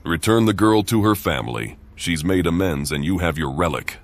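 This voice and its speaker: Low-voiced, authoritative paladin. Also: male voice, animated, normal speed. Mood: stern, judicial. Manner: formal, direct, composed. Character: firm, authoritative male paladin judging fairly. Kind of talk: fantasy game dialogue. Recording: music behind the voice.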